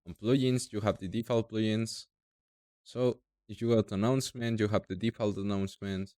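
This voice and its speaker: A young man from Mexico with a Mexican Spanish accent, speaking halting non-native English as he walks through a software tutorial step by step. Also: fairly low pitch, fairly even intonation, dry recording, clean studio sound. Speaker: tutorial creator. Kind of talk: screen-recorded software tutorial.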